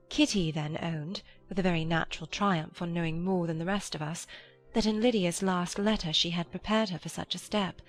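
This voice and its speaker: A woman plays a mild Regency elder sister in an audiobook drama, her voice on the low side. She is gentle, forgiving and measured, with faint music underneath. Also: lightly acted.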